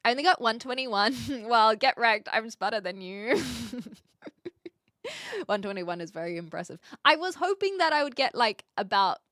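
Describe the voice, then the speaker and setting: A woman from New Zealand with a posh Kiwi accent, chatting casually as a livestream host in an expressive, giggly way. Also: on the high side, moderate pace, no room sound, clean studio sound. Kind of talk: casual livestream chat, answering viewer comments.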